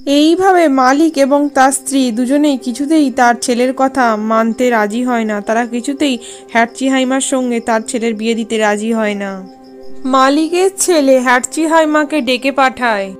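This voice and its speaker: A woman narrates a scripted folk tale for a cartoon in storybook fashion. Her voice is high, with faint music playing underneath. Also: fairly even intonation, moderate pace.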